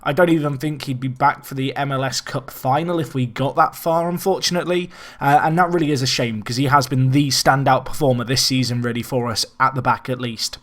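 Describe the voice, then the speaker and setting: A young man with a faintly raspy, hoarse voice talks through a sports management game in a loose, rambling way. He speaks at an ordinary middle pitch, restarts his sentences often, and lifts in energy whenever a chance comes along. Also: fairly quick. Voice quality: slightly raspy. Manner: chatty, rambling with restarts, rising excitement at chances. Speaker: let's play host. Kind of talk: casual gameplay commentary.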